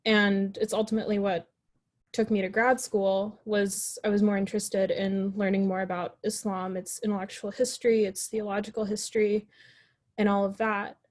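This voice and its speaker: A woman's voice: mid-range voice, little pitch movement, normal speed. Chatty reflective woman on a panel. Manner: reflective, chatty, many fillers and restarts. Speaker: panel guest. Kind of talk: personal storytelling on a panel.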